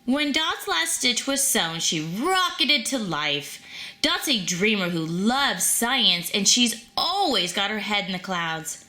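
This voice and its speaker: A woman reading a children's storybook aloud in an animated, expressive storytelling manner. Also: medium pitch, takes it slowly. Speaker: storybook reader.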